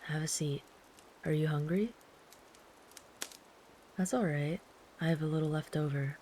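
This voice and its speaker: A woman voicing a big, gentle, protective traveler camping in the woods, speaking softly and soothingly, sometimes in a whisper, as ASMR comfort roleplay. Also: low voice, unhurried, a little hiss or hum, poor audio. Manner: soft, soothing, calm, at times whispered.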